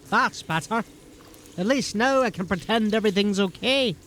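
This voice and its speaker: Cheeky fantasy rogue: high register, rough-spoken grumbler, wry, faint ambience. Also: male voice, a little slow, fairly bright tone, a little hiss or hum. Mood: grumbling. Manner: colloquial, wry complaining. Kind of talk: fantasy audio drama acting.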